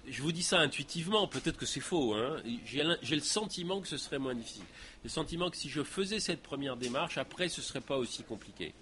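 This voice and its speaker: A man's voice: on the high side, animated, quick pace, sharp tone, slight background noise. Hesitant man answering questions at a lecture. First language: French. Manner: hesitant, many fillers and restarts. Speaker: guest speaker. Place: lecture hall.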